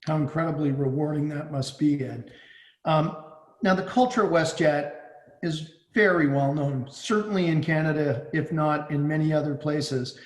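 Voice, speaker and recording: A man's voice: a little high, steady tone, moderate pace. A man hosting an interview podcast, putting prepared questions to a guest, recorded with an echoey, roomy sound. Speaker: podcast host.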